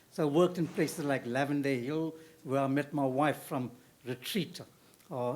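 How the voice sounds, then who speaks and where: An older man from South Africa asking the panel a long question from the audience, halting and full of fillers. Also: a little high, darkish tone. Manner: halting, with many fillers and restarts. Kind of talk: question from the floor at a panel discussion.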